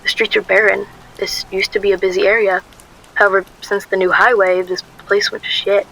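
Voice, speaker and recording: A woman's voice: mid-range voice, level tone, a little fast, vocal fry, fairly bright tone, rough recording. A woman playing an anxious, guarded young shop worker, narrating a radio drama in a wry, confessional way. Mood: wry, uneasy narration.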